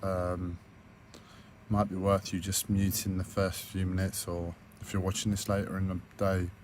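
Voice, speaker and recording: A man with a croaky, hoarse voice, talking hesitantly with many fillers and restarts in a personal video diary recorded on a tablet. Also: sits low, completely flat delivery, moderate pace, darkish tone, a little hiss or hum. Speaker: vlogger.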